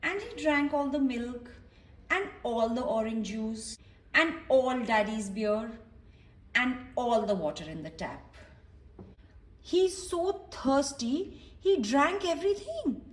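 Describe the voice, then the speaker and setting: A woman's voice: sits high, sing-song, takes it slowly. A woman reading a storybook aloud to young children, explaining it simply and putting questions to the listener. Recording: roomy echo, distant from microphone.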